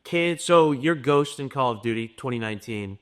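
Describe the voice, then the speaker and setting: A man with a medium-pitched voice co-hosts an interview show. He puts his questions casually, in an informal chatty style. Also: lively intonation, moderate pace, fairly bright tone, clean tone. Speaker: co-host.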